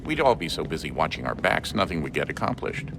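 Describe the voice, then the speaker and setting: An actor playing a stern, world-weary middle-aged father in a video game, speaking in a measured, matter-of-fact way. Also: extremely low pitch, very animated, gravelly, noisy background, exaggerated voice. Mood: dry, skeptical. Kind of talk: video game cutscene acting.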